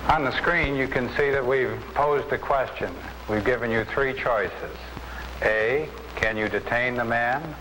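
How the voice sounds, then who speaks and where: An American man lecturing a class as an instructor, deliberate, formal and didactic. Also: mid-range voice, bright, forceful tone. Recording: noisy old training film soundtrack.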